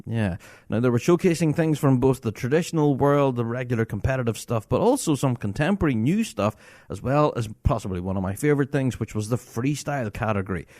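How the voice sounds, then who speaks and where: A man hosts his own podcast, talking enthusiastically and breaking into laughter often. His voice moves around a lot in pitch and stays very animated. The recording is dry and close. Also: fairly quick, no room sound. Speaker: podcast host. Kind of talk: casual solo podcast talk.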